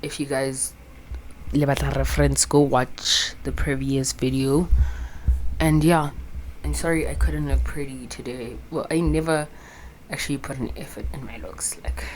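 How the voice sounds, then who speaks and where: Low-voiced young South African woman. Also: steady tone, moderate pace, slight background noise, poor audio. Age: 20s. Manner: rambling, chatty, swears freely, switches into local words. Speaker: vlogger. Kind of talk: casual chat to camera. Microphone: phone camera with a clip-on mic.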